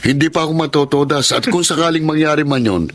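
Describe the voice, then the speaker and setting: A man's voice: fairly high pitch, fast talker, natural voice. An actor playing a cocky, defiant man in a Filipino radio drama. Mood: cocky defiance. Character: cocky, defiant brother-in-law who scoffs at death.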